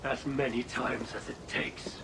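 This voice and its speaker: Guy doing a grieving old norse god, game cutscene, gravelly and solemn, noisy background. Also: medium pitch, flat delivery, measured pace, close to an everyday voice. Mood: determined. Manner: solemn, archaic, commanding. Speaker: voice actor. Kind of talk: dramatic video game acting.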